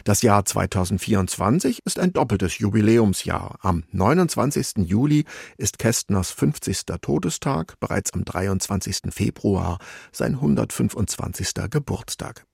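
A man presenting a scripted radio music programme as its host, with a gravelly, husky voice. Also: fairly low pitch, lively intonation, moderate pace, a little mellow, dry recording, studio quality.

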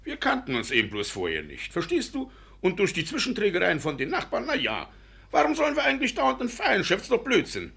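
An actor playing a middle-aged landowner father in a radio comedy, blustering and sentimental, with a raspy, spluttering delivery. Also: sits high, huge pitch swings, a little fast, bright, forceful tone, full character voice. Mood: boastful, sheepish. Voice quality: raspy, creaky. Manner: spluttering, wheedling, theatrically exasperated. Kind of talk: German radio comedy acting.

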